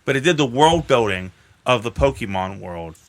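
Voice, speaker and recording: A man's voice: mid-range voice, lively intonation, moderate pace. A man co-hosting a podcast in casual, opinionated chat, swearing freely as he goes. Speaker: podcast co-host.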